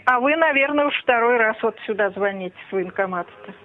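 Brief: a man doing a high-pitched, indignant housewife over a tinny phone line, talks over people. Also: quick pace, close to an everyday voice. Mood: weary. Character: fed-up middle-aged woman answering home phone. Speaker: prank caller.

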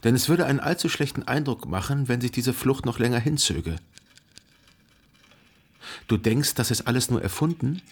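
A man playing a reflective, lovelorn narrator recalling his past in a literary radio drama, measured and contemplative. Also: mid-range voice, lively intonation, husky. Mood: urgent pleading.

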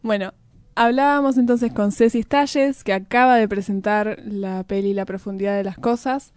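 A woman's voice: medium pitch, steady tone, normal speed, darkish tone, clear, resonant tone, dry recording. A woman with a Rioplatense Argentine accent hosting a casual radio chat. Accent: Rioplatense Argentine Spanish. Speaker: radio host.

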